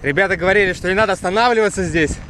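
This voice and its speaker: A man's voice: high voice, rapid-fire. A young man casually narrating a travel vlog outdoors, joking in a loose, slangy way. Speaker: travel vlogger. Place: outdoors on a windy waterfront and pier. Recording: wind and boat noise outdoors.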